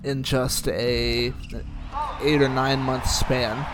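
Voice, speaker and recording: A man's voice: mid-range voice, flat delivery, drawn-out words, noisy background. A man giving casual watch-along commentary, matter-of-fact and thinking aloud, sometimes reading aloud. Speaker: reaction host commenting on a documentary.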